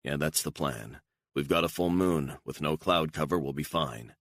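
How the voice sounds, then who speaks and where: A cool, controlled assassin who keeps every line short and firm, as a man plays him. His voice sits extremely deep and level. The sound is close and dry. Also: moderate pace, fairly bright tone, no echo. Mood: steady. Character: calm, hard male assassin, controlled.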